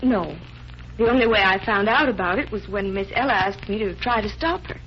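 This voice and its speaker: A woman's voice: medium pitch, brisk, lightly acted. A woman playing a frightened, earnest young heiress, pleading in emotional 1940s radio drama style. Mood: subdued, earnest. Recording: muffled, noisy 1940s radio recording.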